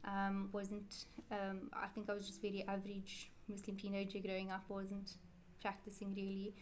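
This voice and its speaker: A woman's voice: medium pitch, monotone, moderate pace, a little mellow, slight background noise. A woman, answering interview questions in a chatty, rambling way and correcting herself often. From South Africa. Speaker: interview guest, Quran teacher.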